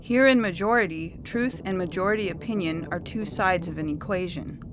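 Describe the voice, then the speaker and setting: A woman's voice: lowish voice, close to an everyday voice. Woman playing an implacable, stern judge. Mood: stern conviction. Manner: stern, measured, certain. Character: implacable, imperious female judge of absolute conviction. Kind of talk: dramatic story reading.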